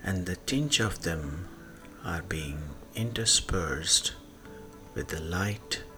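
A man guiding a sleep meditation in a hushed manner, leaving long pauses and letting phrase endings fade into breath. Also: low-pitched, expressive. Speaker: meditation guide. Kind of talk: slow guided sleep meditation. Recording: soft music behind the voice. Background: soft music.

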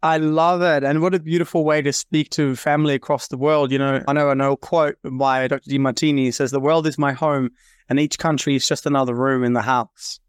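A man's voice: medium pitch, level tone, a little fast, clear, resonant tone, dry recording, studio quality. A man hosting an interview, enthusiastic and affirming toward his guest. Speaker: podcast host. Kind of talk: interview host.

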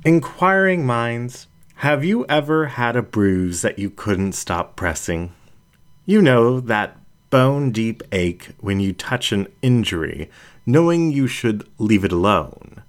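A man's voice: medium pitch, very animated, slow, pitched above the performer's own voice. Man voicing a skeptical, wounded animal-folk lady reporter, swooping intonation. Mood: confiding, wounded. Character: hurt, skeptical young female animal-folk video journalist. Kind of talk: storybook character reading.

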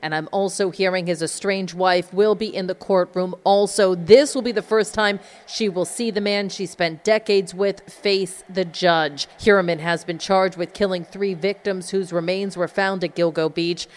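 A woman's voice: on the low side, fairly even intonation, moderate pace, few pauses. A woman with an American accent giving a scripted radio news report.

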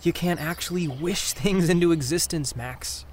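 A man's voice: a little high, moderate pace, a little mellow, slight background noise, close to an everyday voice. A man playing a sceptical, sardonic teenage boy in a naturalistic audio drama, cautious and questioning. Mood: exasperated.

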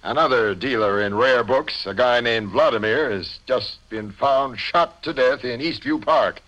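Actor as brisk, clipped American police captain. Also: male voice, mid-range voice, fairly bright tone, lightly acted, low-quality recording. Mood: grave, brisk. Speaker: actor in a radio crime drama. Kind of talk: 1950 radio acting. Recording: old 1950 radio broadcast recording.

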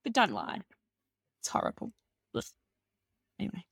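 A woman's voice: lowish voice, wildly swooping pitch, normal speed, vocal fry, a bit bright, husky, no room sound, studio quality. A woman guest on a podcast who talks about business bluntly and cheekily, with strong emphasis. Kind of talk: casual interview chat.

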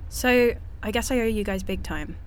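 A woman's voice: mid-range voice, normal speed, a little hiss or hum, natural voice. An actress playing a sceptical, sarcastic, ordinary young woman in an audio drama, with a dry, pointed delivery.

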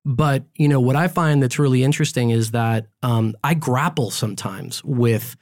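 A priest hosts a relaxed conversation in a mid-range male voice. He talks a lot and tells his stories with lively energy. The recording is dry and close-miked. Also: mid-range voice, normal speed, no echo. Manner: talkative, animated storyteller. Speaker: priest and podcast host. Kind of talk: casual interview chat.

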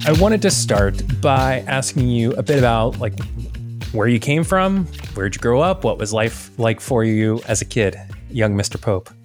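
A man's voice: mid-range voice, big pitch swings, moderate pace, over music. A man hosting an interview podcast, reading an earnest introduction and then chatting with his guest. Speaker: podcast host.

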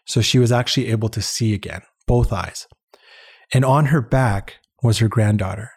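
A man's voice: on the low side, normal speed, breathy edge. A man, a guest in an interview, telling personal stories in a conversational, earnest and reflective way, repeating words for emphasis. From born in Uganda, later lived in Canada. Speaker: interview guest, head of an international eye-care charity.

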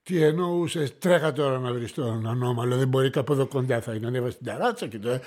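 An actor playing an impatient, bossy police inspector in a radio play. He barks orders and mutters his thoughts in a hushed voice. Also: medium pitch, lightly acted. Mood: impatient, barking.

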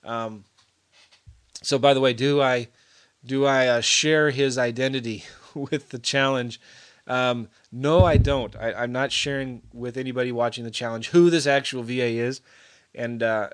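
A man's voice: medium pitch, fairly even intonation, a little slow, clean tone. A middle-aged man talking casually to camera as a real estate investor, rambling with many fillers and restarts. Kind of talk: casual video update.

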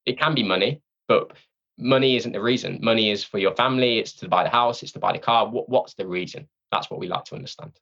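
A man's voice: fairly low pitch, fast talker, gravelly, a bit bright, muffled recording. A man speaking informally as an interview guest, candid and self-deprecating in a casual conversation. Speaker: podcast guest, sales training company representative.

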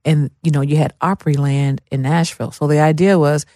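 A woman's voice: low voice, steady tone, fairly quick, dark tone, dry recording, clean studio sound. A middle-aged woman from Memphis, telling stories casually and with emphasis as an interview guest. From Memphis, Tennessee, USA.

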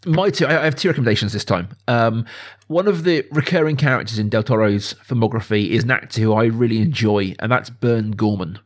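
A man around his thirties or forties hosting a casual film discussion, talking enthusiastically in a halting, discursive way. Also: mid-range voice. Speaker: podcast host.

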